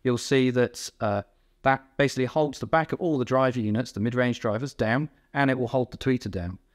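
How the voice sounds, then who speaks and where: Guy in his 30s, average pitch, explaining tech stuff casually, kinda halting, rewording as he goes. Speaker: technical director explaining a product. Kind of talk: informal technical explanation. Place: indoor company workroom.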